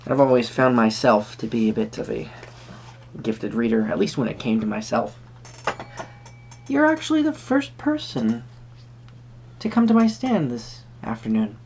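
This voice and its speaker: A performer plays an eccentric Renaissance fair tarot reader in an improvised roleplay. The pitch swoops up and down through hesitant, theatrical phrases addressed kindly to the listener. A faint noisy hum sits behind the voice. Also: sing-song, darkish tone. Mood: whimsical, musing. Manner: hesitant, theatrical, halting phrasing with sudden emphasis. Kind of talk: improvised first-person roleplay addressed to the viewer.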